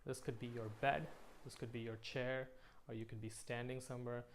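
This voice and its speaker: A man leading a guided meditation in a slow, soothing manner, pausing between short phrases. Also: medium pitch, level tone, slight background noise.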